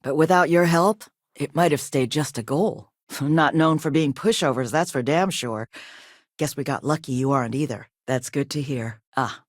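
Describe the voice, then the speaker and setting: A woman voicing a composed, businesslike company owner in a video game, with a polite, measured and formal manner. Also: sits low, dry recording, clean studio sound. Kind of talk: video game dialogue acting.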